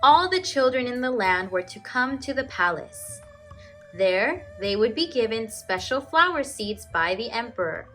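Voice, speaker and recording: A woman teacher reads to children in an unhurried, deliberate storytelling voice. Her intonation is very animated, rising and falling to give phrases emphasis, with music present in the recording. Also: fairly high pitch. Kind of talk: expressive children's storybook reading.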